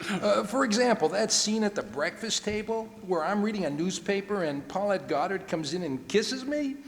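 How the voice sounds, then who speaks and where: A man's voice: high voice, expressive, moderate pace. A man playing a nonchalant bandleader guest in a 1940s radio comedy, casual and offhand with wisecracks. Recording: echoey hall, background noise.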